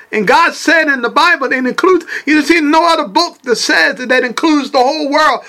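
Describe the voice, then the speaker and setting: A man's voice: very high voice, sharp tone. A man preaching fervently on his podcast, repeating phrases as he builds his points. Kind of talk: sermon.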